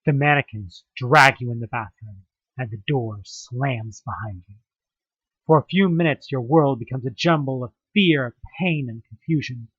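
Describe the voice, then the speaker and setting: A man giving a theatrical, expressive second-person narration of a horror story. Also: medium pitch, normal speed, breathy edge, no room sound, highs cut off. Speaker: narrator of an audio drama.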